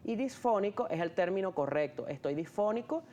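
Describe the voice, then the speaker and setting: A man's voice: high-pitched, expressive, brisk, dark tone, highs cut off, slight background noise. A man, an ear, nose and throat doctor, patiently explaining terms in an interview, often restarting his sentences with fillers. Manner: hesitant, many restarts and fillers.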